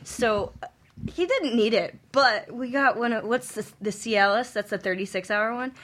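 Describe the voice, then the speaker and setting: A woman's voice: fairly high pitch, normal speed, fairly bright tone. A woman on a talk show panel telling casual, bawdy anecdotes.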